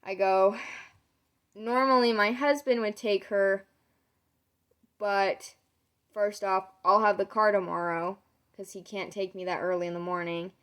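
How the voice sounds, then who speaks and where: A young woman vlogger with a hoarse, nearly lost voice, casually telling a long story to camera with lots of "um"s. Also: mid-range voice, fairly even intonation, unhurried, pauses often, a bit bright. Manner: rambling, many "um"s, retells conversations. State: losing her voice, sore throat.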